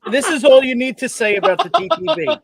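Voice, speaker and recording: A man's voice: very high-pitched, animated, fast, gravelly, a loud crowd. A man on a whiskey stream speaking emphatically, repeating phrases as he reads from a bottle label. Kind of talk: casual chat.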